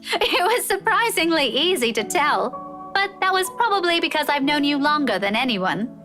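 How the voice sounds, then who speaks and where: A woman's voice: high voice, sing-song, moderate pace, music in the background. An actress playing a candid, teasing, caring female friend in a visual novel game. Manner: frank, dryly teasing.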